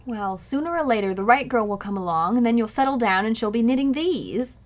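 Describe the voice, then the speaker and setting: A woman's voice: a little high, expressive, fairly quick, natural voice. A woman playing a cheerful, scatterbrained young housewife in 1940s-style radio comedy, with a breezy, innocently matter-of-fact manner.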